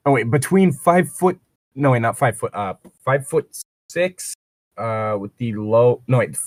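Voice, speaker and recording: A man with a mid-pitched voice, recorded close and dry. He reads a story aloud casually and keeps wandering off into wry, joking asides, swearing freely. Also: expressive, a little slow, dry recording. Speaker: co-host of a fan fiction reading show.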